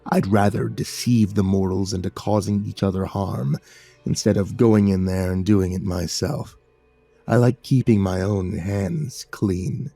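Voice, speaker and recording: A towering goth demon lord with a low, rumbling voice, slow and sneering, sulky and smug, as a narrator puts him on. The voice sits low, and it is close and dry, with faint music underneath. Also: male voice, steady tone, gravelly, mellow, slightly rough, no room sound, heavily acted.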